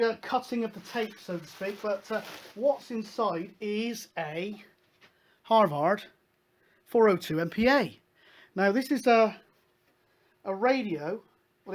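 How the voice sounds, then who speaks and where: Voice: medium pitch, a little slow. A host explaining things casually, in a hesitant, rambling, matter-of-fact way. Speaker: host of a hobby CB radio show.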